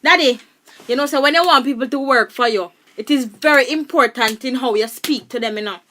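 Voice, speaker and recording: A woman's voice: high-pitched, fairly quick, bright, forceful tone, slight background noise. A woman playing a reproving daughter with a Jamaican accent, advising her father in a radio drama.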